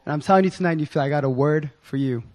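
A young man with a hoarse voice giving an informal sermon in a casual, slangy, excited manner. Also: fairly high pitch, racing. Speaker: preacher.

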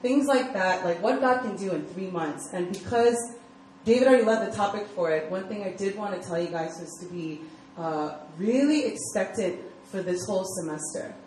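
A woman's voice: medium pitch, lively intonation, normal speed, darkish tone. Chatty young American woman in an echoey hall. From United States (Virginia). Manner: chatty, lots of "like" fillers, laughs easily. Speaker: campus ministry director. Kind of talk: casual personal testimony sermon. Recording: echoey hall with faint room noise.

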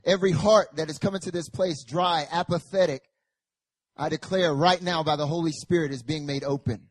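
Young male pastor, hoarse and raspy, relaxed joking turning to loud fervent prayer, dry close sound. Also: a little high, normal speed, no echo. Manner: casual and joking, rising to loud fervent Scripture reading and prayer. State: hoarse, recovering from a chest infection. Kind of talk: sermon. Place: church service.

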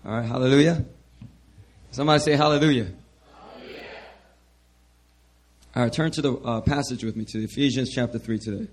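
Hoarse man preaching a sermon. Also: mid-range voice, fairly even intonation, a little fast, long pauses. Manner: repeats hallelujah, calls out to the congregation. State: hoarse, worn voice. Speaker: pastor. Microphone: handheld mic.

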